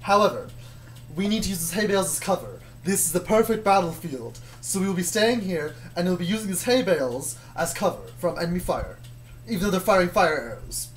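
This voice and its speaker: Woman playing a low-voiced stubborn soldier. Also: animated, fairly quick, natural voice. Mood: matter-of-fact. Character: stubborn, matter-of-fact soldier defending the country.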